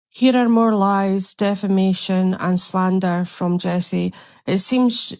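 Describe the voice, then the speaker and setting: A woman's voice: mid-range voice, darkish tone, no echo. A woman narrating over screenshots in an indignant, condemning tone. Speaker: commentary narrator.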